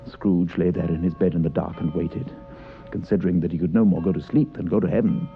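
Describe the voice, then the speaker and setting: A man's voice: sits low, steady tone, fairly quick, gravelly. A man narrating a scripted story dramatically in the style of an old radio broadcast. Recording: muffled vintage radio broadcast with music.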